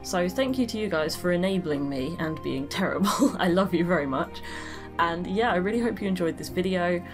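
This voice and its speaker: A woman's voice: lowish voice, expressive, fairly quick. Tired, chatty woman. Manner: chatty, self-deprecating, laughs often. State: slightly croaky, tired. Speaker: art channel host. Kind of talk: casual voice-over commentary on a drawing. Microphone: desk microphone. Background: background music.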